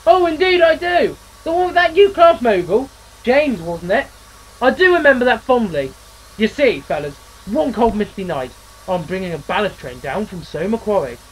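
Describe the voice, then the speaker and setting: A man's voice: high voice, animated, moderate pace, slight background noise, low-quality recording. A man voicing a cheerful, chatty male steam engine who spins folksy yarns and calls others mate. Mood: reminiscing.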